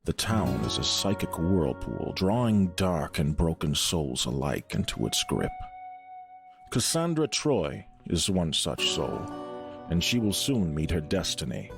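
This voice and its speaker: Deep, gravelly male narrator. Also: very animated, measured pace, music in the background. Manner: dramatic, ominous. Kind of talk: ominous scripted story narration.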